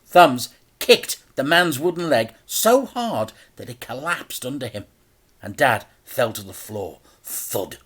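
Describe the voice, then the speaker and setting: A man reading a children's story aloud as an expressive narrator, with theatrical, dramatic delivery. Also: mid-range voice, takes it slowly, slightly rough.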